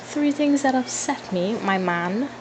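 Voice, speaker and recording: Female vlogger, twenties, Trinidadian accent. Slow, informal Q&A chat with playful asides, some background noise. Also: on the high side, animated. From Trinidad and Tobago. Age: 20s. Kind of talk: casual Q&A chat to followers.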